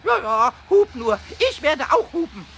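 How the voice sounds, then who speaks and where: A tiny male kobold with a very high, wildly swooping voice. He sputters and repeats himself, mischievous and quick to rage. The radio play recording has clear background noise. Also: sing-song, full character voice. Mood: furious, boastful. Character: small furious male kobold, mischievous, hot-tempered.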